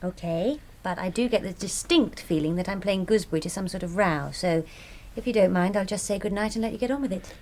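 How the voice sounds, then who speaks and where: Young British daughter, played by an actress, fast speech, polite but pointed, radio drama, faint background ambience. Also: female voice, medium pitch, a little mellow, a little hiss or hum, close to an everyday voice. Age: young adult.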